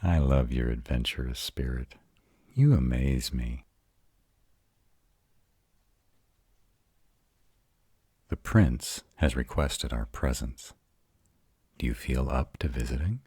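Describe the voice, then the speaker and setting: A man voicing a devoted, self-assured male vampire who patiently guides a newly turned mate in a scripted roleplay audio, speaking in a soothing, intimate, deliberate way. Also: a little mellow, dry recording, exaggerated voice. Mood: smooth, instructive. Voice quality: very deep, husky.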